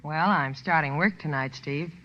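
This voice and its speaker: A woman's voice: low-pitched, normal speed, a little hiss or hum, close to an everyday voice. Sultry, wry club singer played by a woman, teasing, reverberant vintage radio play. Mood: cool. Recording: dull, reverberant old radio recording.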